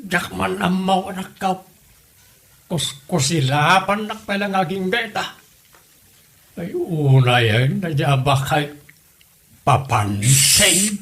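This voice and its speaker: An aggrieved old Ilocano father played by a man in a comedy drama. He speaks slowly in a fairly high voice, drawing out plaintive exclamations and pleading his innocence. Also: animated, a bit bright, full character voice. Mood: grumbling. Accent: Filipino, Ilocano. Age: older. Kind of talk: comedy radio drama acting.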